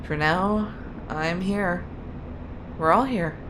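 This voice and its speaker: Woman as a low-voiced, blunt female soldier. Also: slow pace, background noise. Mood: frank, caring. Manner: blunt, self-assured. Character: headstrong, blunt young female sniper trooper. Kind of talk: sci-fi military audio drama.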